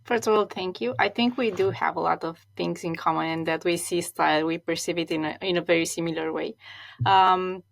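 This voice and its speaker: A woman's voice: lowish voice, fairly even intonation, a little fast, gravelly. A woman in her thirties from Romania, a non-native speaker whose first language is Romanian, talking reflectively as an interview guest with frequent restarts and repeated words. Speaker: guest, stylist.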